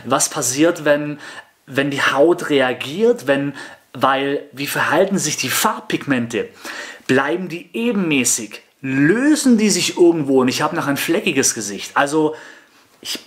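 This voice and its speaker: A man's voice: on the high side, lively intonation, a bit bright. Skeptical man with a headset mic. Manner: skeptical, repeats himself, halting restarts. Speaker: makeup artist reacting to videos. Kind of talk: reaction commentary.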